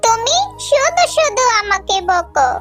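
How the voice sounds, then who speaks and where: A woman's voice: extremely high pitch, sing-song, unhurried, a bit bright, soft background music, full character voice. A woman voicing a stubborn little girl in a cartoon, childlike and wheedling. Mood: pleading, sulky. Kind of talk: cartoon voice acting.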